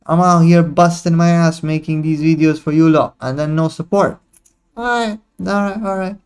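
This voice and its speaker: A man's voice: a little high, fairly even intonation, normal speed, a little mellow, clear, resonant tone. Slangy young man, trading stream. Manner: slangy, chatty, teasing viewers. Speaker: livestream trading host. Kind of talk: casual live commentary to chat.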